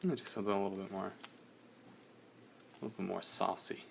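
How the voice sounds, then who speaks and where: A young man, slightly hoarse, chatting casually in a relaxed, rambling way while he streams a drawing session. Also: low-pitched, brisk, a little hiss or hum. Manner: relaxed, rambling, many fillers.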